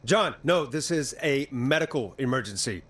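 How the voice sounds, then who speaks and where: An actor playing a steady, reassuring but urgent radio host in a horror game drama. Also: male voice, medium pitch, moderate pace, slightly rough. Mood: urgent, earnest. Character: calm, earnest male 1980s radio DJ in crisis.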